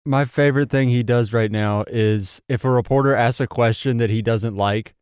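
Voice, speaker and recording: A man's voice: medium pitch, fairly even intonation, moderate pace, a little mellow, clean tone, dry recording, studio quality. A man filling in as a guest co-host on a podcast, easygoing in a casual chat and laughing often.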